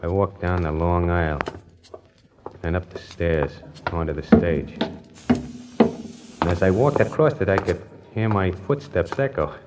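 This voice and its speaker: A man's voice: low-pitched, a little slow, long pauses, heavy background noise. An actor playing a brash, jumpy small-time hustler who narrates his own story in wry, colloquial 1940s radio suspense style. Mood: uneasy, hushed. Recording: vintage radio broadcast with music.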